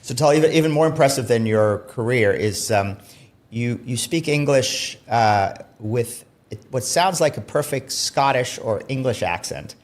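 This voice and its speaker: A man's voice: mid-range voice, lively intonation, unhurried. A man hosting an interview, asking long questions with frequent repeated words. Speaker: podcast host, interviewer.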